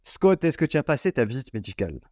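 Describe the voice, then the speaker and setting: A man's voice: medium pitch, very animated, fast talker, a little mellow, no room sound, clean studio sound. A man hosting a casual podcast, talking informally with lots of fillers and restarts. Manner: chatty, informal, many fillers. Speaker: podcast host.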